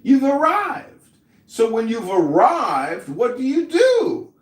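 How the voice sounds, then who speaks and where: Guy rambling slow on a group call, voice all over the place, roomy and far off. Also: very high voice, huge pitch swings. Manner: drawn-out, repeats "hello" and "point of order" over and over. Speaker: podcast host. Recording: echoey room audio, from far off.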